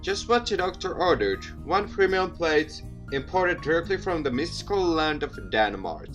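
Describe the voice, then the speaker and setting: A man's voice: a little high, very animated, normal speed, a bit bright, soft background music, voice pushed up. A man playing a wry, self-doubting teenage boy, the protagonist of a visual novel, in a dry and brooding manner. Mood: playful.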